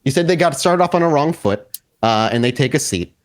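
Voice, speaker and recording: A man improvising narration as game master of a tabletop horror game, descriptive and pausing as he builds suspense. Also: a little high, expressive.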